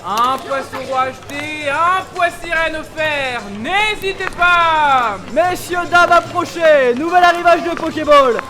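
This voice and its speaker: Super high squeaky shop seller in a cartoon, eager sales patter, music behind. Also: male voice, measured pace, few pauses, sharp tone, exaggerated voice. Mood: hawking. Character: shrill, eager market vendor hawking wares.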